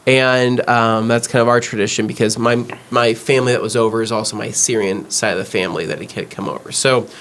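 An American man co-hosting a podcast, telling personal stories casually in an animated, conversational way. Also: medium pitch, a little fast, hardly any pauses. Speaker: podcast co-host. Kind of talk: casual chat, personal storytelling.

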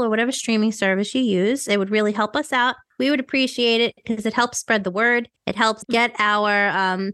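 A woman's voice: fairly high pitch, fairly bright tone, dry recording. A woman co-hosting a podcast in casual chat, talking in an informal, chatty and emphatic way. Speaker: podcast co-host.

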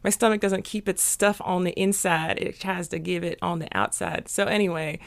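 A young woman with a hoarse, gravelly voice, pitched a little low. She chats on a podcast in a halting way, with lots of ums and a dry, self-mocking wit. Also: steady tone. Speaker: podcast co-host. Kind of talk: casual podcast chat.

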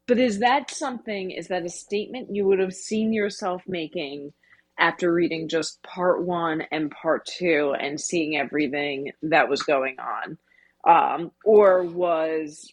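Woman, podcast co-host, husky voice, a little low, casual discussion, conversational.

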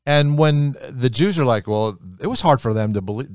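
A man who grew up in America, teaching the Bible in a relaxed conversation, animated and emphatic, often repeating phrases for effect. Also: medium pitch, normal speed, no echo, clean studio sound.

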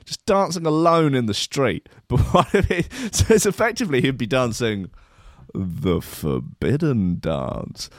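A man's voice: mid-range voice, big pitch swings, a little slow, dry recording, studio quality. A man hosting a comedy show, reading out a news story with sardonic, mocking asides and laughing at his own jokes.

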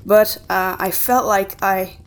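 A woman's voice: medium pitch, steady tone, takes it slowly. A woman co-hosting a podcast, talking casually and conversationally. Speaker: podcast co-host. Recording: clear background noise.

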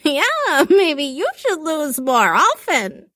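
Figure: old female fortune teller, fond and teasing, played by a woman reader. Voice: high and very lively, slow. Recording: dry. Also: no room sound, heavily acted. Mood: knowing. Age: older.

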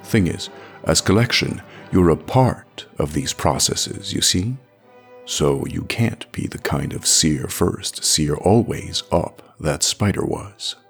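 A world-weary male boss, as a man narrating puts him on talks in a low voice. He is mild and absent-minded, rambling matter-of-factly through his explanations. It is an audio drama with music behind it. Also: animated, slow pace, gravelly, slightly rough, exaggerated voice.

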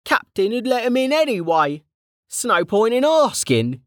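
A narrator voicing Kettle, a rough, cheeky male guard who talks in brash slang. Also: very high voice, big pitch swings, normal speed, a bit bright, dry recording, clean studio sound, voice pushed up, heavily acted.

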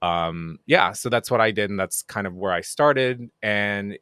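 A middle-aged man from Japan with a very low voice, a native Japanese speaker talking in English. He chats easily with a self-deprecating humor and plenty of fillers. The sound is close and dry, with no room echo. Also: a little slow, smooth. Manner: relaxed, self-deprecating, many fillers and restarts. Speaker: podcast guest, game producer. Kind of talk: casual interview chat.

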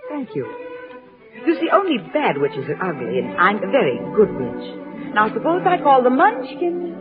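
A woman's voice: fairly high pitch, wildly swooping pitch, normal speed. An actress playing a gracious, self-assured good witch in a grand, lilting, theatrical old radio drama style. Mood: gracious, knowing. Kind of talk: 1930s-50s radio drama acting. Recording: tinny old radio broadcast, faint music.

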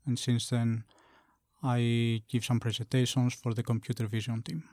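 A man from Greece, an engineer, introduces himself as a podcast guest in a measured, careful way. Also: medium pitch, level tone, a little mellow, no room sound.

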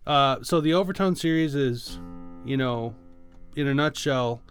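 A man talks as a podcast co-host in an ordinary mid-pitched voice, explaining patiently and informally, one step at a time. Faint plucked guitar music plays behind him. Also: measured pace.

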